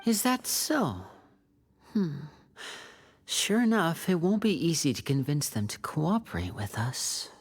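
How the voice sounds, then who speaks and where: A woman voicing a young male magician agent in a video game, polite, composed and calculating, with measured speech. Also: sits low, big pitch swings, full character voice. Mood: wry, thoughtful. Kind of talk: video game character acting. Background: game music.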